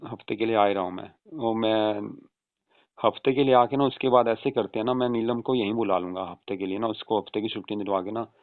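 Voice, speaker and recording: A man playing an easygoing adult son reassuring his mother in an informal, chatty phone conversation, with a creaky voice on a tinny call recording. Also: lowish voice, a little fast, dry recording, lightly acted. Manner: informal, chatty, often seeking agreement with tag questions. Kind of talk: staged casual phone conversation in Hindi.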